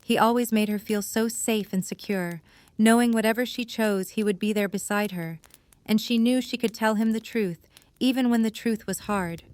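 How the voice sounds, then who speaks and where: A woman's voice: medium pitch, moderate pace, darkish tone, dry recording. A woman reading scripted narration for an audio drama as its storyteller.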